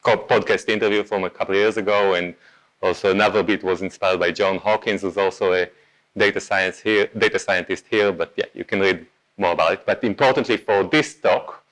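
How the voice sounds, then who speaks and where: A man from Israel with a clear Israeli accent talks to a meetup crowd in a relaxed, conversational way. His voice sits fairly low, and he often pauses on "um" and corrects himself mid-sentence. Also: rough recording. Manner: casual, halting, many ums and self-corrections. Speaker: meetup speaker. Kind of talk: conference talk.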